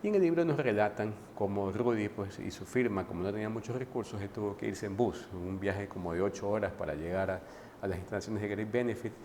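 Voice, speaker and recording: Male book reviewer, low-pitched, relaxed conversational delivery, faint noise behind. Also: fast. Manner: conversational, frequent restarts and tag questions. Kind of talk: casual book commentary.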